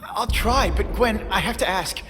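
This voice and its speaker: A man's voice: high voice, a little slow, big-room sound, noisy background. An actor playing a wisecracking but earnest young male hero in a video game, with casual, quippy delivery. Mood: earnest concern. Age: young adult. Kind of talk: video game cutscene acting.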